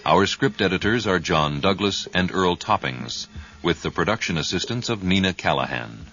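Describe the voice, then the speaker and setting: A man's voice: deep voice, lively intonation, normal speed, sharp tone. A man reading scripted closing credits as the announcer of a radio drama. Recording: vintage radio broadcast, dull band-limited sound. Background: music.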